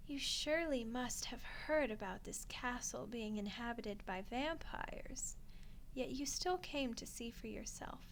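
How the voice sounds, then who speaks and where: A woman's voice: high-pitched, slight background noise. A woman voicing a gracious, teasing centuries-old vampire queen in an ASMR roleplay, with courtly, coaxing phrasing. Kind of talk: ASMR roleplay monologue addressed to the listener.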